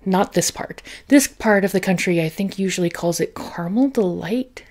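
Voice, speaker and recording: A woman in her thirties from the United States, chatting softly in an ASMR grocery haul in her home kitchen. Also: on the low side, moderate pace. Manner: soft-spoken, chatty, laughs often. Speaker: ASMR creator.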